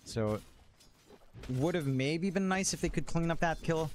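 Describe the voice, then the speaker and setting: Higher-voiced male esports caster, analytical. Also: expressive, a little slow, a little hiss or hum. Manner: analytical play-by-play. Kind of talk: live game commentary.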